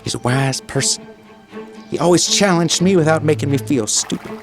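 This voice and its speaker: An actor playing an earnest, simple-minded former president giving an interview soundbite. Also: male voice, fairly high pitch, moderate pace, over music, natural voice. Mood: earnest, defensive.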